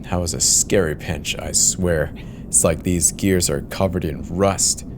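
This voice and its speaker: A man's voice: low voice, fairly even intonation, unhurried, noisy background, voice pushed down. A man playing an uneasy, cynical airline co-pilot in a horror audio drama, speaking in a tense, resigned way. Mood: grim, resigned. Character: uneasy, cynical male co-pilot in a failing plane.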